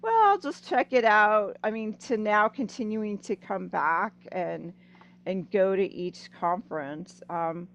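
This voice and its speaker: A woman's voice: mid-range voice, a little slow. A woman hosting a casual interview over a video call, chatty and full of "I mean" and "you know". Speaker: podcast host.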